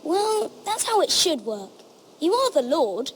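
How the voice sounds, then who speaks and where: Very high-pitched, innocent little girl. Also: big pitch swings, a little slow, full character voice. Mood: pleading, insistent. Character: innocent, eager little girl, youngest sister. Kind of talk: dramatic video game acting.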